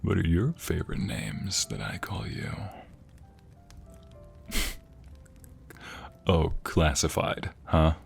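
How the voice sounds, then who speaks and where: Deep, gravelly, affectionate husband played by a man. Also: animated, soft background music, full character voice. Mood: flirty, teasing.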